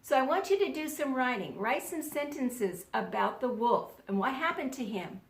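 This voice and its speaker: A woman's voice: high-pitched, expressive, normal speed, vocal fry. A woman teacher giving a read-aloud lesson for children, telling the story expressively and putting questions to her listeners. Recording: roomy, echoey sound.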